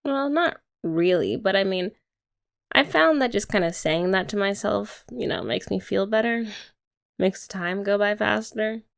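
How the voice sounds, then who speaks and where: Playful woman with a gravelly edge. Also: medium pitch, measured pace. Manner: soft, playful and teasing, talking to the listener. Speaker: ASMR creator. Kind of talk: ASMR girlfriend roleplay.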